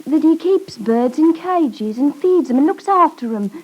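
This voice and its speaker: A woman's voice: high voice, lively intonation, measured pace, darkish tone, muffled recording. A woman playing a shy, earnest young country girl with a rural English accent and deferential manner in a 1950s radio drama. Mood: shy, curious. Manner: timid, plain-spoken, deferential. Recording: 1950s radio broadcast recording.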